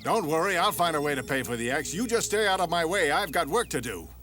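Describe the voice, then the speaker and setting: A stern, weary woodcutter father from a fairy-tale cartoon. His voice sits a little high and moves up and down a lot. He grumbles and scolds in a fatherly way over background music. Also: sing-song, fast, bright, forceful tone. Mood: scolding. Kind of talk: animated fairy tale dubbing. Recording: music under the dialogue.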